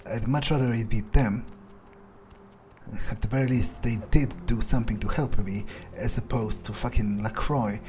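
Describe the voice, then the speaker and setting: A man's voice: medium pitch, warm tone, lightly acted. A man voicing a cynical, foul-mouthed vampire in a video game, muttering casually to himself as he thinks aloud. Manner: casual, sweary, hesitant muttering. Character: cynical, foul-mouthed male vampire prowling a city for prey. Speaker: gameplay roleplayer. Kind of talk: in-character gameplay monologue, thinking aloud. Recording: game music behind the voice.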